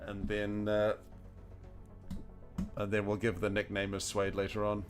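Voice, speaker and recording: Man, New Zealand accent, casual game commentary, low voice, faint background music. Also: completely flat delivery, measured pace. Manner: chatty, thinking aloud, chuckling often. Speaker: gaming streamer. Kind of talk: casual commentary while playing a video game.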